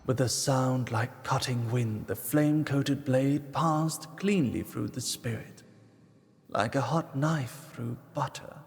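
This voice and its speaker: A man's voice: mid-range voice, takes it slowly, gravelly. A man giving solemn, dramatic scripted narration of a fantasy story.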